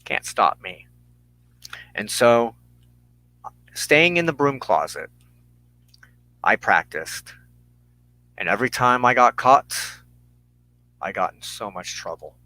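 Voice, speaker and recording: An American man giving an earnest personal monologue as a broadcast host, speaking in deliberate, halting phrases with emphatic pauses. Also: mid-range voice, sing-song, sharp tone, dry recording, low-quality recording. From United States. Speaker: host of a weekly religious broadcast.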